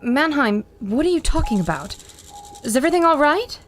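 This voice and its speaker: Woman as a high-voiced, earnest lady reporter. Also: sing-song, brisk, slight background noise. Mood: concerned. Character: plucky, caring woman reporter on an airship. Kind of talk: old-time radio adventure serial acting.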